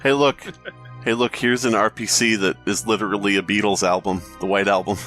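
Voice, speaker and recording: A man's voice: on the low side, fairly even intonation, fairly quick, a bit bright, faint music behind. A man co-hosting a podcast in casual banter, flippant, offhand and sweary. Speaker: podcast co-host.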